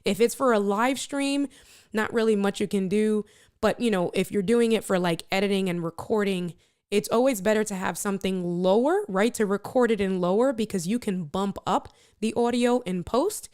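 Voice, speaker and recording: Woman streamer with a big loud voice, chatty and playful, talking gear to her viewers in a mostly empty room. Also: medium pitch, moderate pace, clear, resonant tone. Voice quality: strong, loud, projecting voice. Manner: energetic, playful, talks straight to viewers. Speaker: live stream host testing microphones. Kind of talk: casual live stream chat. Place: room with little furniture. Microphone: switching between test microphones at different distances.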